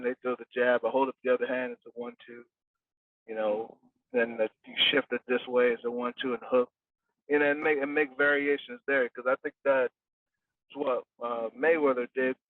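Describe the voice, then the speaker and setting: A quiet man who speaks softly and haltingly, pausing often on fillers as he explains his coaching. His voice comes over a thin, phone-like line from a distance and sounds dry. It is a casual podcast interview. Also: mid-range voice, no room sound. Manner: quiet, soft-spoken, halting with many fillers. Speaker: boxing coach, guest. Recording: tinny phone-like line from far off.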